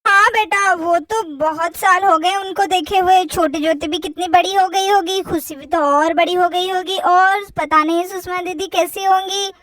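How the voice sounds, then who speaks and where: An anxious, affectionate Indian-family-drama mother, acted out by a woman storyteller, fussing over her daughters. The voice is extremely high and bright, full of exclamations in a play-acted story. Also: steady tone, moderate pace, hardly any pauses, slight background noise. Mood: wistful.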